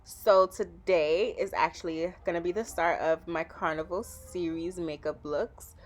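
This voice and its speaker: A woman with a somewhat low voice talks viewers through a makeup look. Her delivery is easygoing and chatty, full of casual fillers, like a vlogger narrating over her own footage. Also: unhurried, soft background music. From Trinidad and Tobago. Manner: chatty, relaxed, with frequent fillers.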